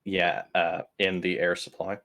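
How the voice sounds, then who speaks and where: Man playing a deep-voiced, probing space crewman. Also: little pitch movement, unhurried, pushed and bright. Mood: inquisitive. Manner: pointed, informal questioning. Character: probing, skeptical male crew member questioning a doctor. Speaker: role-player in a sci-fi horror game. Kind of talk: improvised in-character tabletop roleplay.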